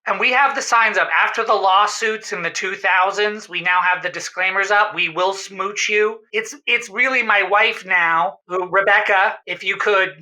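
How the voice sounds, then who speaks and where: A woman's voice: mid-range voice, fairly even intonation, normal speed, few pauses, bright, forceful tone, lightly acted, rough recording. A woman playing a desperate, defensive family-business owner who pleads melodramatically on a comedy radio call-in. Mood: defensive, earnest. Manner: pleading, insistent, prone to melodramatic outbursts. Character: desperate, defensive mistletoe-shop owner, spouse of a fed-up wife.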